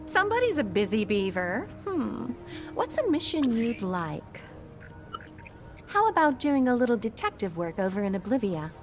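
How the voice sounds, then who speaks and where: An actress playing a poised, friendly, gently teasing mission coordinator in a video game. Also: female voice, a little high, big pitch swings, normal speed, soft background music. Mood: helpful, teasing. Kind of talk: video game cutscene acting.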